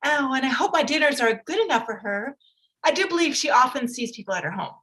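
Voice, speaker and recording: A woman playing a fretful, excitable middle-aged wife and mother in a period drama reading, fluttery, exclamatory and complaining. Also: sits high, fast talker. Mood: anxious.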